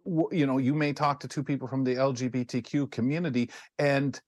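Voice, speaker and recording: A man's voice: mid-range voice, steady tone, brisk, no room sound. Canadian man musing in a dry studio. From Ontario, Canada. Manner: rambling, musing, acts out snippets of imagined dialogue. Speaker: talk show host. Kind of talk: casual radio panel discussion.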